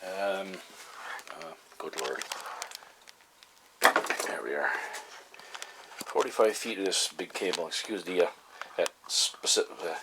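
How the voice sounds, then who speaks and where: A man giving a casual, halting explanation as a hobbyist vlogger, folksy and thinking aloud. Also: low-pitched, lively intonation, very noisy.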